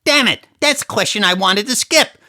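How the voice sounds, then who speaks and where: A man's voice: high-pitched, lively intonation, normal speed, pushed and bright, no room sound, studio quality, full character voice. A man playing a dim, blunt, wisecracking sidekick with a brash, slangy manner in a comedy audio drama. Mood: indignant.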